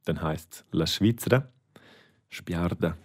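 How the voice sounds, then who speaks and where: Swiss german guy, really deep voice, repeats words slow for his friend. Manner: patient, repeats words slowly for the learner. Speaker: language teacher. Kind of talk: casual language lesson chat.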